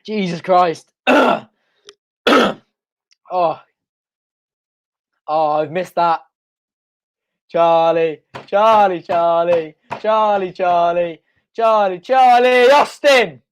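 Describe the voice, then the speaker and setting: A young man hosting a live football watch-along from home, excitable and shouting, his voice going hoarse. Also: sits high, drawn-out words, pauses often. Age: young adult. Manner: excitable, shouting and chanting at goals. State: losing his voice. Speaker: fan channel host. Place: home front room.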